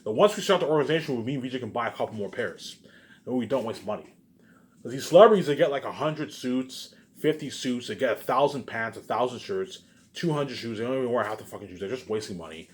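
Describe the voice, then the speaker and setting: A man's voice: medium pitch, animated, fast. A man talking in a casual, rambling monologue, circling back over the same phrases with repeated filler tags and emphatic bursts that trail off. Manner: repetitive, restarts phrases, frequent filler catchphrases.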